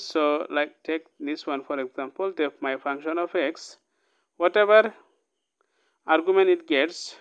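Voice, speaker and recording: A man's voice: on the high side, clean tone, muffled recording. A man teaching a programming lesson, reading code aloud line by line and often checking in with "okay?". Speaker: programming tutor. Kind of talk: casual screen-recorded coding lesson.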